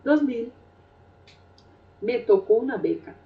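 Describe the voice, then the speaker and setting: A middle-aged Brazilian woman, a Portuguese native speaker with a Brazilian Portuguese accent, telling her life story in an unhurried, reflective way with frequent hesitations. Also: fairly high pitch, expressive. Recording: roomy, distant, dull sound.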